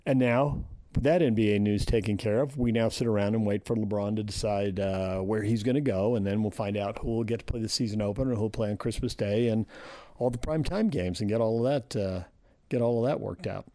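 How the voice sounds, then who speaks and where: A man's voice: on the low side, a little fast, warm tone, no room sound. An American man hosting a sports radio show, talking casually and thinking aloud in short phrases.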